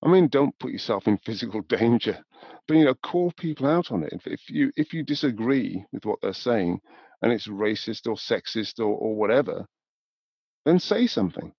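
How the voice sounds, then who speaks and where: A man, talking thoughtfully and in a measured way as an interview guest. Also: fairly low pitch, animated, a little mellow, breathy edge, dry recording, dull audio. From north of England, born in Newcastle. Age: 70s. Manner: thoughtful, measured, with repeated "you know". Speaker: podcast guest, music industry professional. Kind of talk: reflective interview conversation.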